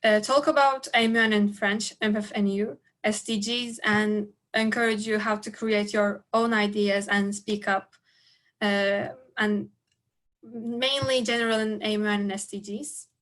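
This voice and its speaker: A sixteen-year-old girl from Turkey, a native Turkish speaker, presents a workshop over a video call. Her voice stays flat, with little rise or fall, and she halts often on fillers. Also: medium pitch. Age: teens. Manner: hesitant, halting with fillers.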